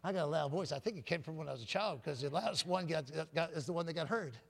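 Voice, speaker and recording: A man preaching a sermon with a loud voice, often calling out "Amen?" and swinging from booming to soft. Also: quick pace, creaky. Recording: long hall reverb.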